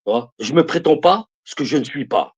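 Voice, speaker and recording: A man's voice: on the high side, expressive, a little fast, breathy edge, lo-fi sound. A man in his fifties giving religious advice on a live stream, emphatic and repetitive, speaking to his listeners as brothers and sisters. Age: 50s. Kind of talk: preaching to viewers on a live stream.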